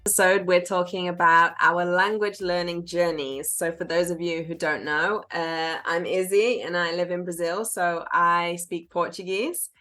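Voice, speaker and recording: British woman podcaster, lowish voice. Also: steady tone, a little slow, hardly any pauses, sharp tone, faint music behind. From United Kingdom. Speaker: podcast co-host. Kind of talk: casual chat.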